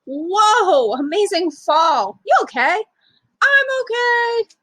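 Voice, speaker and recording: A woman's voice: extremely high pitch, sing-song, takes it slowly, fairly bright tone, no room sound, pitched above the performer's own voice, heavily acted. A woman voicing young children, eager and easily hurt, with exclamatory, pleading delivery in a storybook reading. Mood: excited.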